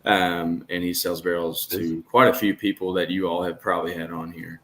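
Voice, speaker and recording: Deep-voiced young man. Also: flat delivery, moderate pace, bright, forceful tone, slight background noise. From Kentucky. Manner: laid-back, with easy laughs and fillers. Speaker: distiller guest. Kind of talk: casual interview chat.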